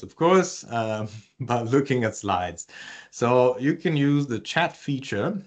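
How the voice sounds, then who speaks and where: A man from Italy with an Italian accent, presenting an online workshop and chatting with the audience, with many fillers and restarts. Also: mid-range voice. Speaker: research communication presenter.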